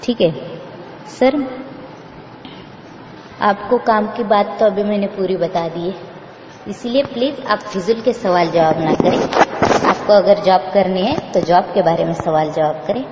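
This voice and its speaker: A woman's voice: medium pitch, measured pace, pauses often, a little mellow. A woman recruiter delivering a scripted explanatory message in a firm, instructing manner. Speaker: recruiter reading a job pitch. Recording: echoey, reverberant, muffled, noisy playback.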